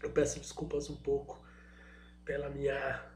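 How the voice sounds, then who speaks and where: A middle-aged man with a Brazilian accent gives an informal presentation in a slightly hoarse voice. He speaks hesitantly, often searching for the next word. The room is echoey, with a faint hum underneath. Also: medium pitch, monotone, normal speed, darkish tone. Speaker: guest speaker, product designer and innovation agent.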